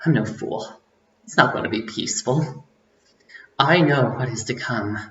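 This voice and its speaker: An actor playing a bitter, vengeful rebel who addresses a powerful leader in a theatrical, deliberate dramatic monologue. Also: medium pitch, a little hiss or hum, lightly acted. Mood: vengeful, gloating. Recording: muffled, echoey room recording.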